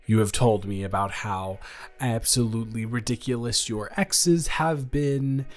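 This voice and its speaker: A man playing a nervous, earnest male best friend confessing a crush in a halting, rambling, improvised audio roleplay. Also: medium pitch, lightly acted. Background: music.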